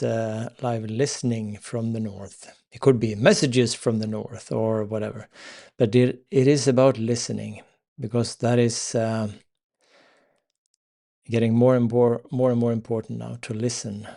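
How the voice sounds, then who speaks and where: A man with a Swedish accent hosting a spiritual live stream, talking slowly and hesitantly with many fillers and pauses. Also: medium pitch, flat delivery, creaky, a little mellow. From Sweden. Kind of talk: unscripted spiritual talk.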